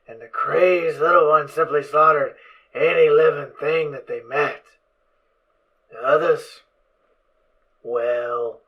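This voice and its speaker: A brainy ghoul pony scientist, played by a man in an audio drama, with a bright, tense voice. He lectures in a brisk, absorbed manner, though his overall pace is slow with pauses. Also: mid-range voice, dull audio. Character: distracted brainy undead pony scientist, camp leader. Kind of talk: amateur audio drama acting.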